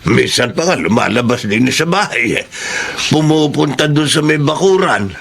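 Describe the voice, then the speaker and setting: An actor playing a doting, worried elderly Filipino grandfather in a radio drama, with a halting, fussing manner. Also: mid-range voice, animated, gravelly, a bit bright, breathy edge, exaggerated voice. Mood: hesitant, suspicious. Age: older.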